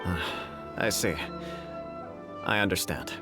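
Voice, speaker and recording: A man playing a polite, dignified feudal official in a video game, with a formal, earnest, measured way of speaking. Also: deep voice. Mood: understanding. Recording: faint background music under voice.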